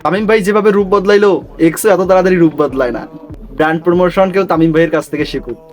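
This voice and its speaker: Very fast high-voiced Bangladeshi man, mocking. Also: faint music behind. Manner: mocking, teasing. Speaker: host of a meme review channel. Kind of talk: scripted comic meme commentary.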